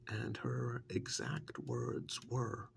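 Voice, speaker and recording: Soft, gravelly, slow-talking man acting a witch's manservant. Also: fairly low pitch, very animated, a little hiss or hum, full character voice. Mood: calm, fond reminiscing. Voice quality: soft, breathy half-whisper with gravelly fry. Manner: unhurried, halting, matter-of-fact storytelling. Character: male witch's assistant, plain-spoken, loyal, fond handyman. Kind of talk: soft-spoken ASMR role-play.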